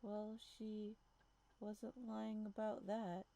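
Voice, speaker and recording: Casual woman streamer, medium pitch. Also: little pitch movement, slow, gravelly, warm tone. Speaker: game streamer. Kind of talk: casual let's play commentary, reading aloud.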